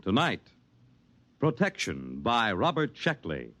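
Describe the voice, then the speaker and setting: A man announcing a 1950s radio show in a dramatic, booming, portentous style. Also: huge pitch swings, slow, sharp tone, a little hiss or hum. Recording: old radio broadcast.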